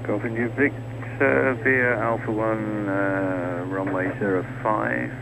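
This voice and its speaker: Low, creaky man on noisy aviation radio. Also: steady tone, takes it slowly. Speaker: airfield radio operator. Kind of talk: clipped radio procedure. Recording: dull radio transmission under engine noise.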